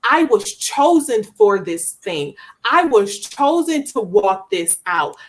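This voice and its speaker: A woman's voice: mid-range voice, big pitch swings, slow pace. A woman speaking as a guest author in an interview, giving earnest, emphatic motivational testimony and repeating phrases for emphasis.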